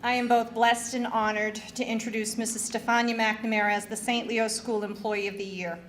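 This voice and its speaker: A woman's voice: on the high side, level tone, normal speed, a little hiss or hum. A school principal, a woman, formally and earnestly introducing an award winner.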